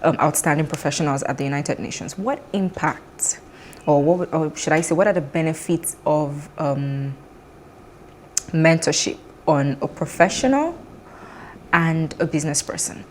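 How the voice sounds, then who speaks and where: A woman's voice: low-pitched, animated, normal speed, a little mellow, a little hiss or hum. A woman hosting a business talk show, asking questions in a casual, encouraging way. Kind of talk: interviewing a guest.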